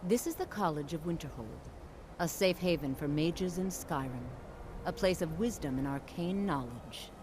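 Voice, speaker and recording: A woman playing a solemn, guarded mage gatekeeper in a fantasy game, speaking in a formal, measured, stern way. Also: deep voice, expressive. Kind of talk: scripted fantasy game dialogue. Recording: game audio with clear background noise.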